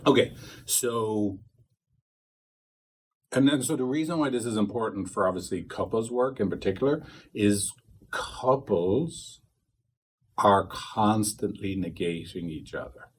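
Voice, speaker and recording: A man's voice: fairly low pitch, measured pace, pauses often, husky. A man training couples therapists, talking casually to a small group, rambling and acting out quoted dialogue.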